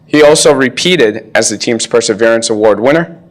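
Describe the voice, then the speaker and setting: A man's voice: medium pitch, normal speed. A man, a school assistant director, reading a formal scripted introduction of an honored student at a ceremony. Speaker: junior high assistant director. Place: school promotion ceremony, speaking to an audience.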